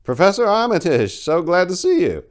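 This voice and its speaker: A man's voice: high-pitched, very animated, normal speed, pitched above the performer's own voice. A man voicing a pushy, cheery old priest and college dean in a story reading, effusive and brash. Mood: effusive greeting.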